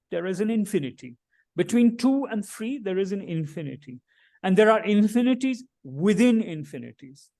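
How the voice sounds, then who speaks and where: A man with a slightly high voice gives a conference talk about his art. He speaks in a measured, reflective way and builds his ideas step by step. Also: a little mellow. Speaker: artist presenting his work. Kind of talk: conference presentation.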